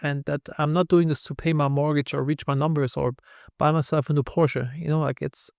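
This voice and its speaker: Candid German-accented founder, slightly high gravelly voice, dry close mic. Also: male voice, level tone, brisk, a little mellow, no room sound. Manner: candid, storytelling, self-deprecating. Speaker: podcast guest, founder. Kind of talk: casual interview talk.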